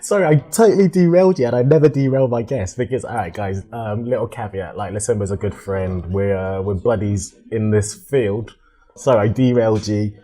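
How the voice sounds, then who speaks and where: A man's voice: on the low side, normal speed, mellow. A British man hosting a podcast in a casual, jokey, chatty way. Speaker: podcast host.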